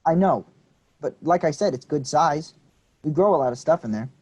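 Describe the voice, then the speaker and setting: A man's voice: a little high, animated, normal speed, darkish tone, breathy edge. A man reading the part of a polite, earnest teenage nephew, a little unsure of himself. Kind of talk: staged play reading.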